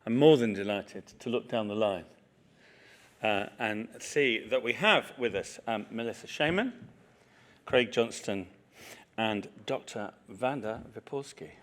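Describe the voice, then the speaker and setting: A man's voice: lowish voice, animated, a little slow, fairly bright tone. A middle-aged man chairing a festival panel in a small hall, chatty and self-deprecating with many fillers. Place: small event hall with audience.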